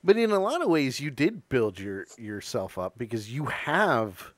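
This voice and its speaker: A man's voice: mid-range voice, very animated, unhurried, dry recording. An American man in his thirties hosting a casual interview, close on a studio microphone. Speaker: show host, interviewer. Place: small dark indoor studio. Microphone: boom-arm studio mic and headphones.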